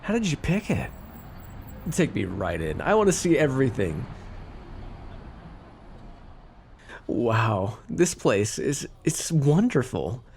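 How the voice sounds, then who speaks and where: A man's voice: mid-range voice, big pitch swings, measured pace, a little mellow, slight background noise. A man playing a cocky, teasing mafia boss with a theatrical, flirty drawl in an ASMR roleplay. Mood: sweet, lovey-dovey.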